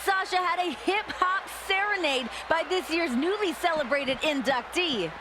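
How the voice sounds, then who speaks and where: Female wrestling commentator, high voice, broadcast-style game commentary over clear arena noise. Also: lively intonation, moderate pace. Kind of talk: scripted sports commentary for a video game. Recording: arena noise and ball-like thuds behind. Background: busy wrestling arena ambience.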